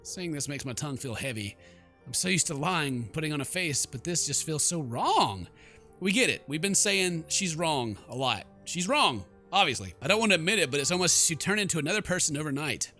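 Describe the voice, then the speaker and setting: A man hosting a game playthrough, mixing jokey commentary with theatrical read-aloud narration in an animated manner. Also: on the high side, a little fast, faint music behind. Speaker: gaming video host.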